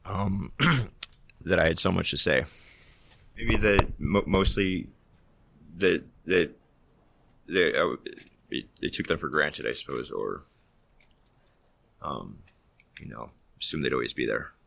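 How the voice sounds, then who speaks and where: A man answering hesitantly, with halting restarts. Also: a bass voice, animated, gravelly, a bit bright, breathy edge, dry recording. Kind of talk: personal answer, reflective.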